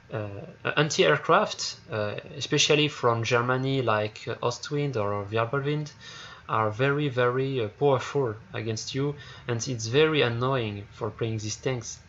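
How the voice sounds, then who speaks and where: This is a man with a French accent, hosting a casual game review in English with a mid-range pitch. He stops often to hunt for words, filling the gaps with "uh" and repeated starts. The recording is a bit dull, with a faint hiss underneath. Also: fairly bright tone. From France. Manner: halting, searching for words, many fillers and repeated starts. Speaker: gaming YouTuber.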